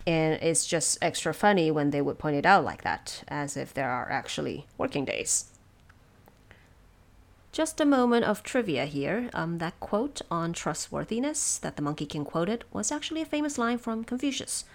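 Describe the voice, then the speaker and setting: A woman hosting production notes, explaining things in a measured, semi-scripted and lightly wry way. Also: sits low, big pitch swings. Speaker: host of production notes for an audio drama.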